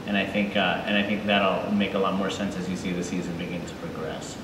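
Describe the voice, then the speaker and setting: Lowish-voiced man echoing in a hall. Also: little pitch movement, a little fast. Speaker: TV show creator, panel guest. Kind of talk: live panel interview, explanatory. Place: large indoor convention hall stage. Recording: echoey hall, distant, crowd noise.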